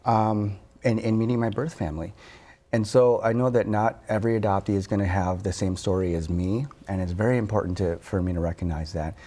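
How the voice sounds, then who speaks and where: Soft, dark-voiced man reflecting thoughtfully. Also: on the low side, fairly even intonation. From born in Korea, raised in Wisconsin. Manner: soft-spoken, reflective, halting with fillers. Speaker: therapist and author, guest. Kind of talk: TV interview.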